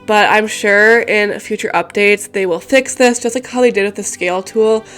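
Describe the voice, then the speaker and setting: A woman's voice: mid-range voice, moderate pace. A young woman with a congested, stuffy voice, chatting casually and rambling with lots of fillers while talking over a building game. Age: young adult. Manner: rambling, many fillers and restarts. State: congested, recovering from a cold. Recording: soft music behind the voice.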